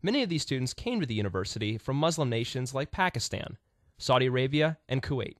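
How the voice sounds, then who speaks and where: Lively male documentary narrator. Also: medium pitch, fast talker, no room sound, clean studio sound. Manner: even, reading from a script. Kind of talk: scripted narration.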